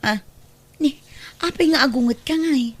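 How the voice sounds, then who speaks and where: An actress playing a firm, persistent creditor in an Ilocano radio drama. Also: female voice, high voice, moderate pace, lightly acted. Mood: insistent. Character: firm, persistent woman creditor collecting a debt.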